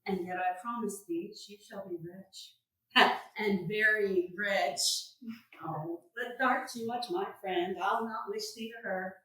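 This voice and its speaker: A woman playing a genial, excitable, lovestruck gentleman in a Shakespearean staged reading, with animated theatrical verse. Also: mid-range voice, takes it slowly. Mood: friendly, teasing. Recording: echoey room, distant microphone.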